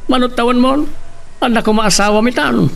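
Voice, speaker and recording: High-voiced, creaky Ilocano boss. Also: male voice, steady tone, moderate pace, fairly bright tone, recorded from a distance, slight background noise, exaggerated voice. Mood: bossy, teasing. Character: well-off male boss and husband, boastful flirt. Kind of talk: Ilocano radio drama acting.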